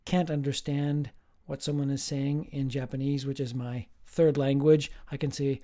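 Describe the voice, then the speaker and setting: A man, a professor, giving a slow, emphatic recorded lecture with playful asides. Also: medium pitch, flat delivery, mellow.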